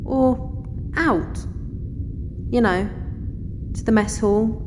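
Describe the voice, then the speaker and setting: A woman's voice: a little high, very slow, noisy background. A woman acting a blunt, wry, teasing starship crew member in an audio drama. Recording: long hall reverb, music behind.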